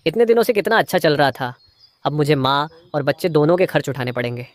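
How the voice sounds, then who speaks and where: A man's voice: a little high, quick pace, no room sound, natural voice. A man playing a stingy, scheming cartoon crow husband. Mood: alarmed, grumbling. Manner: muttering schemes, feigning sweetness to his wife. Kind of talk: Hindi moral-story cartoon dialogue.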